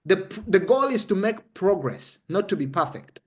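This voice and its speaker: Ugandan male, high-pitched for a man. Conversational coach, instructive and emphatic, checks the listener follows. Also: very animated, moderate pace. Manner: emphatic, instructive, checks understanding with short questions. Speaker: interview guest explaining a method.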